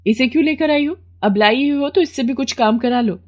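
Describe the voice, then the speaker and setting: Woman acting a bossy rich mistress, raised pitch. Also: brisk, natural voice. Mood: scornful, bossy. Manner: bossy, scolding, commanding. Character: haughty rich female employer, bossy, sharp-tongued.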